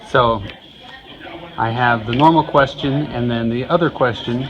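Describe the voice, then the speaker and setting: A man's voice: mid-range voice, measured pace, lo-fi sound, crowd noise. A man conducting a casual interview, asking questions and offering short encouraging affirmations. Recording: busy room with people chattering.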